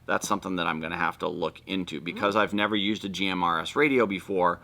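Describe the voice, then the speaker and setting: A man's voice: sits low, quick pace, vocal fry, bright, forceful tone. A man hosting a casual product walkthrough, explaining things informally and step by step. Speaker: video host.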